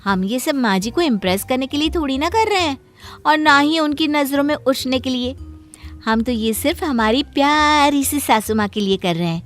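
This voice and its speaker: A woman's voice: sits high, lively intonation, normal speed, faint music behind. A woman voicing a sly, sweet-talking younger daughter-in-law in a moral cartoon story, with showy politeness. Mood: sweet, eager.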